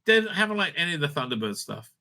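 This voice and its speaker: A man's voice: on the high side, big pitch swings, fast talker, pushed and bright. A man giving casual, unscripted online commentary, sweary, sarcastic and exasperated in manner. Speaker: online commentator reviewing audio drama releases.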